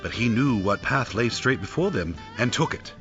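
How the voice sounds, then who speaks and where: A man's voice: mid-range voice, expressive, moderate pace, muffled recording, music in the background. A man narrating a classic tale in a dramatic, scripted storytelling style.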